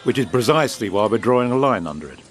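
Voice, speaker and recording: An actor playing an urbane, evasive British establishment gentleman who speaks formally and with measured insinuation in an audio drama. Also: mid-range voice, animated, faint music behind, lightly acted. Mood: guarded, stern.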